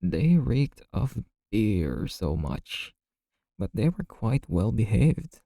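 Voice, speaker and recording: A man playing a chatty, easygoing bartender in an ASMR roleplay, telling gossipy stories in a casual, friendly, unhurried way. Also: fairly low pitch, gravelly, dark tone, no echo, clean studio sound, exaggerated voice.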